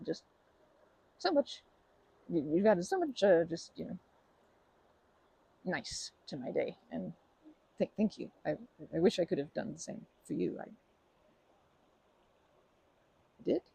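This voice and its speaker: A woman playing a timid, kind young woman in an ASMR roleplay, stammering and rambling self-consciously in a soft, breathy voice. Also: lowish voice, very animated, measured pace, long pauses, full character voice, rough recording. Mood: touched, grateful. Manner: stammering, self-deprecating, rambling with nervous giggles. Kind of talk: ASMR comfort roleplay, conversational acting.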